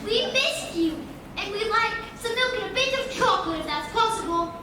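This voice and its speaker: A woman's voice: extremely high pitch, animated, moderate pace, bright, forceful tone, full character voice. A woman playing a polite, anxious small child in a live stage play, earnest and projected. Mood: eager. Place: theatre stage. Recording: distant mic, echoey theatre, faint noise.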